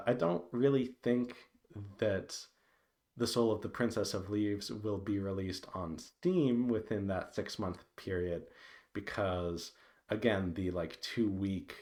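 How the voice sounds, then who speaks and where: A man giving a casual, unscripted update to viewers, thinking aloud in a hesitant, meandering way with halting pauses. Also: sits low, a little mellow. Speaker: visual novel developer giving a devlog update.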